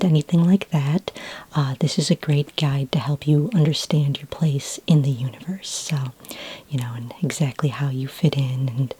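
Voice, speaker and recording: Friendly sci-fi library clerk female performer, ASMR roleplay: dark, breathy, half-whispered, gentle and patiently helpful. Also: low-pitched, no room sound. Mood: soft, patient. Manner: gentle, hesitant, unhurried, helpful. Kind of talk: soft-spoken ASMR roleplay.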